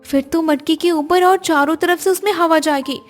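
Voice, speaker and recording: A woman voicing a cheerful, curious young Indian daughter-in-law in an animated family story, with expressive household chatter. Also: very high-pitched, moderate pace, soft background music. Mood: lively, curious.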